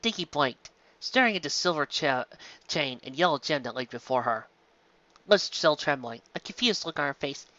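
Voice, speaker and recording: A female narrator reads a story with expressive delivery. Her voice is bright and very varied in pitch. The sound is dry and close. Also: normal speed, no echo, highs cut off.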